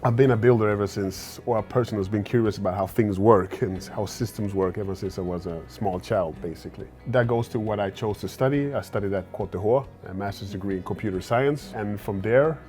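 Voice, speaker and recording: A man in his forties from Sweden, a startup founder speaking at a fireside chat interview, earnest and often pausing on "uh" and repeated words as he thinks. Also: on the low side, darkish tone, soft background music. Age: 40s. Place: event stage at a fireside chat.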